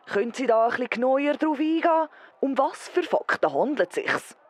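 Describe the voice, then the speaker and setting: A woman's voice: high voice, very animated, a little fast, breathy edge, exaggerated voice. A woman playing an earnest, persistent field correspondent in a parody news report, formal and dramatic, with a Swiss German accent. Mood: probing.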